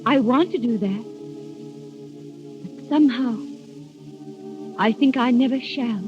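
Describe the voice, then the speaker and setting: A woman's voice: fairly high pitch, takes it slowly. An actress playing a dreamy young noblewoman in love, wistful and formal, in a 1930s radio drama. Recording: muffled vintage 1930s radio recording, faint music.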